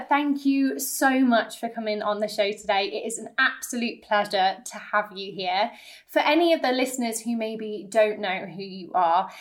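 A woman hosting a podcast, interviewing a guest in conversational speech. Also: mid-range voice. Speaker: podcast host.

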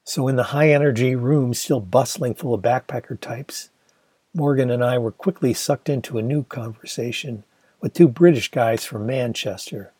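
A man's voice: mid-range voice, normal speed, mellow, slightly rough, no room sound. A man in his sixties from Michigan, reading his own memoir aloud in a wry, conversational storytelling manner. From Michigan, USA. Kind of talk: audiobook narration.

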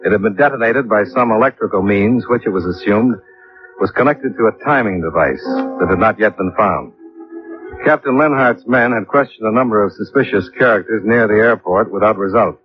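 An actor playing a businesslike male investigator in old radio detective style, measured and matter-of-fact, both in dialogue and in narration. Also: lowish voice, lightly acted. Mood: sober narrating. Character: steady, businesslike male investigator who narrates his own case. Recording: dull old radio recording with music.

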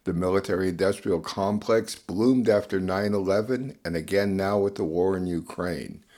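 A man narrates a scripted news summary for radio. His voice is notably deep. He reads as a presenter introducing a report. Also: darkish tone.

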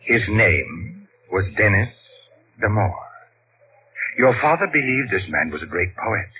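A slow, deep, eerie old man. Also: very animated. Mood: grave, sorrowful. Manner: slow, halting, ominous. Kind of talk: 1940s radio mystery acting. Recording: dull, noisy vintage radio recording.

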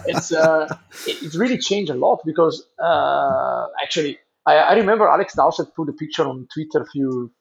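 A man in his thirties from Italy with an Italian accent tinged with Flemish, answering interview questions in halting English and often restarting words. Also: on the high side, creaky, breathy edge, lo-fi sound. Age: 30s. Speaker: professional cyclist, guest.

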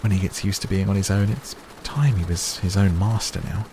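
A man's voice: low voice, steady tone, brisk. A man voicing an old hobbit in a story reading, fussy, stubborn and testy, with a defensive manner, on a noisy recording. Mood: wistful. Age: older. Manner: stammering, impatient, defensive.

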